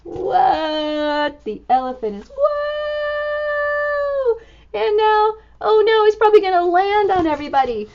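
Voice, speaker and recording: A woman storyteller reading a picture book to young children in an animated, exclamatory way, full of expressive wonder. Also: very high-pitched, takes it slowly, lo-fi sound. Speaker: storytime reader and teacher.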